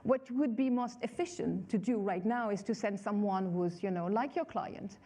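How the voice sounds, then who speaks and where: A woman's voice: medium pitch, normal speed, warm tone. A woman professor on a conference stage, explaining her views thoughtfully with examples. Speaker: management professor, panel guest. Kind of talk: stage conversation.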